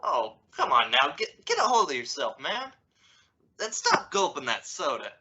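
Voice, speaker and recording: Wry, exasperated father for radio comedy male actor; hesitant, stammering, forceful voice with lively swings. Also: a little high, moderate pace, slightly rough, full character voice. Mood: awkward concern. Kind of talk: old-time radio comedy acting. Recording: dull, lo-fi radio-play audio.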